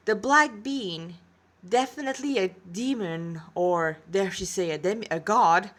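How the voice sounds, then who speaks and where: A woman's voice: medium pitch, a bit bright. A woman with a congested nose reading a fantasy story aloud as an amateur narrator.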